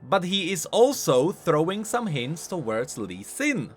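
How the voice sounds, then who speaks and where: Animated man explaining game lore. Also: fairly high pitch, unhurried, husky, faint music behind. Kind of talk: scripted explanatory commentary.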